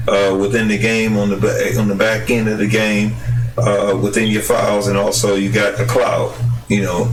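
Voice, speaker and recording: A tired, hoarse man rambling through casual game commentary from the United States, with lots of fillers and restarts. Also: on the low side, distant mic. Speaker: gaming channel host. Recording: roomy, off-mic with music behind.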